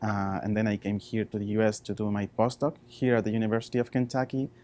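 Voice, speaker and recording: A man in his thirties with a Chilean Spanish accent, a researcher talking informally about his work in halting non-native English. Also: on the low side, flat delivery, darkish tone. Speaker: postdoctoral researcher.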